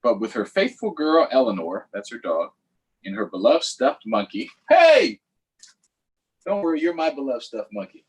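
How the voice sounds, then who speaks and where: A man hosts a story time for young children in a husky voice. His pitch swings widely as he reads and chats. His delivery is playful and sing-song, with casual asides to the viewers. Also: wildly swooping pitch, moderate pace.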